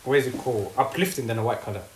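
A man's voice: medium pitch, very fast, a crowd in the background. A young man from Ethiopia, co-hosting a casual chat in a blunt, teasing way with street slang. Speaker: podcast co-host. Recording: echoey room with faint noise.

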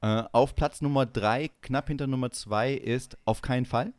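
A man's voice: medium pitch, moderate pace, darkish tone, smooth, no room sound. Guy cohosting a comedy podcast, casual teasing banter, mock serious announcer bits, very dry recording.

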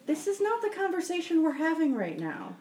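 A woman's voice: very high voice, huge pitch swings, fairly quick, a little mellow, roomy sound, a little hiss or hum. A woman playing a tabletop roleplaying game, speaking firmly and insistently, partly in character as her bard. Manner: firm, insistent. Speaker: player.

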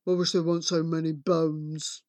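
A woman voicing a big, menacing, grumpy male giant from a fairy tale, booming and drawn-out. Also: flat delivery, a little mellow, dry recording, lightly acted.

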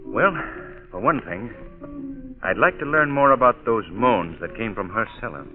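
An actor playing a calm, inquisitive male investigator who asks measured, polite questions in a 1940s radio drama. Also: medium pitch, faint music behind, natural voice. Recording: narrow old radio broadcast with a crowd.

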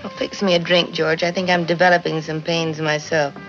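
A woman's voice: deep voice, flat delivery, brisk, a bit bright. An actress playing a sarcastic wife who mocks her meek husband, in 1950s film noir style. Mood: mocking. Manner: mocking, cutting, sweetly sarcastic. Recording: dull old film soundtrack with score. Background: film music.

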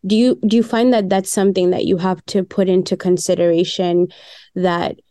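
A woman's voice: on the low side, little pitch movement, normal speed, dark tone, no echo, studio quality. A woman interviewer asking long, hesitant questions with frequent restarts. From grew up under a British system before Canada. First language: English. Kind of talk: conversational interview.

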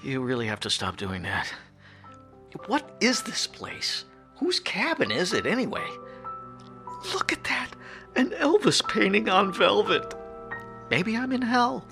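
Radio drama: flustered male curator, late thirties, stammering, pitch leaping wildly, music underneath. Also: huge pitch swings, unhurried, gravelly, pauses often, exaggerated voice. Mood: bewildered. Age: 30s. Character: bewildered, anxious 38-year-old male museum curator. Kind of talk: radio play acting.

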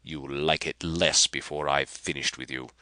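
A man voicing a gloating villainous alien commander, speaking slowly and with menace. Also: very deep voice, steady tone, pushed and bright, clean tone, no echo, studio quality, full character voice. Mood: menacing. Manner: sneering, slow and menacing.

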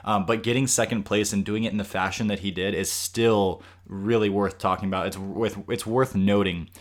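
Lower-voiced man co-hosting a podcast. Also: moderate pace. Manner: animated, thinking aloud with restarts. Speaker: podcast co-host. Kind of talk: casual podcast chat.

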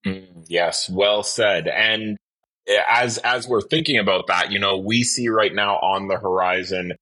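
A man hosting a podcast interview in a conversational, measured and thoughtful way. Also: on the low side, sharp tone, rough recording.

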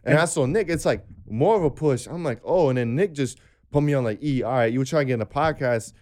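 A man's voice: medium pitch, fast talker, a little mellow. A man in his twenties, a podcast guest, speaking earnestly and restarting his phrases as he thinks. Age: 20s. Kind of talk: casual podcast chat.

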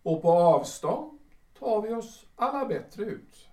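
A man's voice: sits high, lively intonation, a little mellow. A man acting a radio drama as a lonely, nostalgic retired official in his sixties, musing hesitantly in broken, repeated phrases. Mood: wistful musing. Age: 60s. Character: reclusive, nostalgic retired civil servant of 62, lonely but resigned. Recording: roomy echo, distant from the mic.

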